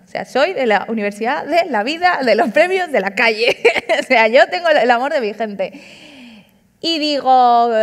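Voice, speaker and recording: A woman in her thirties, an author, speaking casually and animatedly at an onstage book talk, tossing in frequent tag questions. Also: fairly high pitch. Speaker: author and comic artist. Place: large auditorium stage. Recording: long hall reverb.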